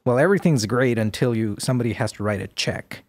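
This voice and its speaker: A man in his forties, born in India, speaking as a podcast guest in a measured, thoughtful way with many pauses and fillers, on a boom mic in a studio. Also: expressive, a little mellow, dry recording. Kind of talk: podcast interview. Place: studio room with plants. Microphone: boom mic with headphones.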